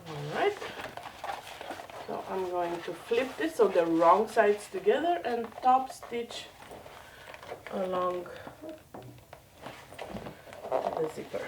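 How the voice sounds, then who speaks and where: A woman's voice: mid-range voice, distant mic, background noise. Nasal woman with a cold, chatting while sewing. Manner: chatty, self-deprecating, talks while working. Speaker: sewing vlogger. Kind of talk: casual sewing tutorial. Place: home craft room.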